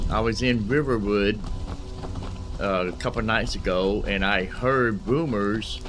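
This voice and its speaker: A man giving casual, rambling gameplay commentary as a streamer, often repeating his phrases. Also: lowish voice. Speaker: gaming streamer. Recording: music behind the voice.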